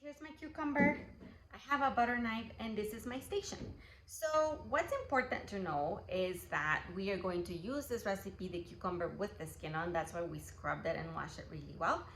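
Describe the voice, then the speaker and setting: A woman's voice: mid-range voice, normal speed, a bit bright, a little hiss or hum. A young woman from Guatemala hosting a kid-friendly cooking class, cheerful and upbeat, talking straight to the viewers. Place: kitchen. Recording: roomy kitchen, faint ambience.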